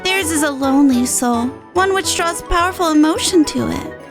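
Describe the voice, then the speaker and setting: A woman voicing a gentle, earnest little girl in a fantasy game, who sounds wise beyond her years. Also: sits high, moderate pace, music in the background, pitched above the performer's own voice. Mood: earnest, relieved. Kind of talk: reading game dialogue in character.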